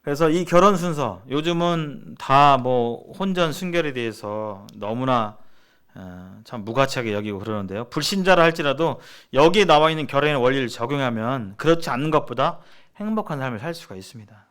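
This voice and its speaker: A middle-aged man from South Korea teaching in a sermon-like seminar style, explaining haltingly and restating his phrases as he goes. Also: mid-range voice, lively intonation, a bit bright, clean tone. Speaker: church seminar preacher.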